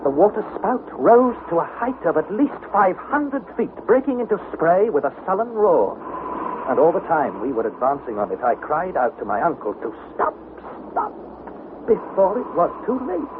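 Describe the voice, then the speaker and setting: A man's voice: high-pitched, big pitch swings, normal speed, narrow, tinny audio. An actor playing an earnest, anxious young nephew, precise and dramatic as he narrates and acts in an old radio adventure. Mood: tense, measured. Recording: thin, noisy vintage radio recording.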